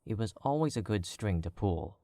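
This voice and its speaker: A man with a very low voice narrates a story in a measured, even way. The sound is close and dry, with no room around it. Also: dry recording. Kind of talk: scripted audiobook narration.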